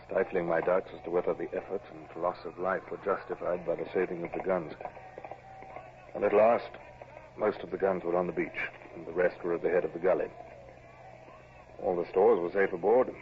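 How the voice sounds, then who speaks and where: Actor as deep-voiced naval captain, old radio. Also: male voice, lightly acted. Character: commanding, self-doubting British naval captain, wry and brisk. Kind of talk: old radio drama acting and first-person narration. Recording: muffled, noisy vintage radio recording.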